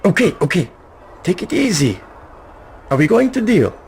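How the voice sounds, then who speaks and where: A man's voice: high-pitched, big pitch swings, moderate pace, creaky, darkish tone, a little hiss or hum, heavily acted. A man playing a nervous, shifty informant in a video game, anxious, hesitant and eager to placate. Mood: placating. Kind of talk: video game cutscene voice acting.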